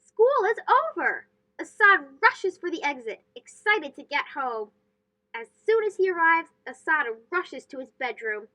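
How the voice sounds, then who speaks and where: A woman reading a children's storybook aloud as host, expressive and phrased for young listeners. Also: very high-pitched, poor audio.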